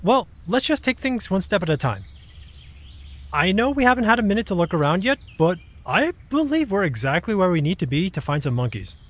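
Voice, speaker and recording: A woman's voice: mid-range voice, big pitch swings, fairly quick. A woman acting a practical, cautious, friendly adventurer in an audio drama. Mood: reassuring, practical.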